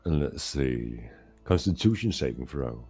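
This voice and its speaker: A man's voice: rumbling low, lively intonation, a little slow, warm tone, slightly rough, faint music behind. A man with a Danish accent running a tabletop game as game master, joking and self-deprecating between his narration.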